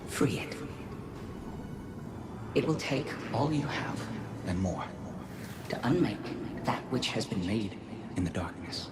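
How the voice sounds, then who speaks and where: An actor playing mournful ancient spirits in a video game, solemn and incantatory, speaking in short phrases. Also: male voice, lowish voice, expressive, takes it slowly, pauses often, over loud music. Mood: solemn, pleading. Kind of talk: dramatic video game cutscene acting. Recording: loud ambient noise behind voice.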